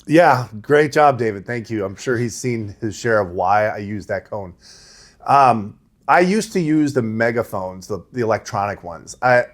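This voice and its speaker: A middle-aged man with a big voice, a rowing coach answering interview questions in a relaxed, conversational way. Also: lowish voice, normal speed. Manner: conversational, laughs easily, says right? and you know.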